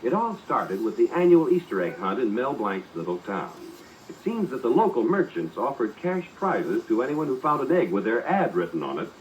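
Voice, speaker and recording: A man's voice: mid-range voice, very animated, normal speed, slight background noise. A man announcing and narrating a 1940s radio show from a script with showy, emphatic delivery. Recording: muffled old radio recording with music.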